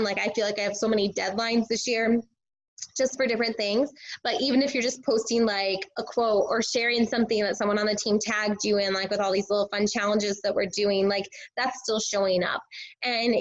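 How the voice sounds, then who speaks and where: A woman, a teacher and fitness coach, sharing casual tips in a chatty way, full of filler words, her voice a bit hoarse and scratchy. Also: mid-range voice. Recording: dull lo-fi recording.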